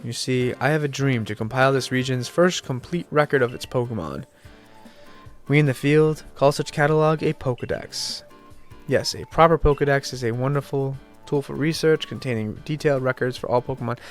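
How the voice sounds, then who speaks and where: A man's voice: mid-range voice, brisk, soft background music, close to an everyday voice. A sick, nasal man reading aloud the lines of a polite, formal male professor in a video game. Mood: earnest. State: sick, congested.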